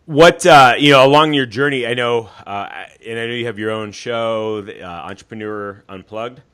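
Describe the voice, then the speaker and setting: A man's voice: medium pitch, moderate pace, a bit bright, clean tone. A man hosting a podcast interview, affirming his guest and using many fillers as he asks questions. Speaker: podcast host. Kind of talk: conversational interview.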